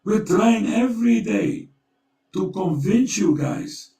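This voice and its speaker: A man's voice: high voice, lively intonation, takes it slowly, creaky, recorded from a distance, a crowd in the background. A man whose first language is Dutch, speaking English with a Dutch accent, telling a story and urging listeners, often repeating his words. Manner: urgent, storytelling, repeats himself. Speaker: co-host. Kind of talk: earnest conversational appeal. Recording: echoey room, away from the mic.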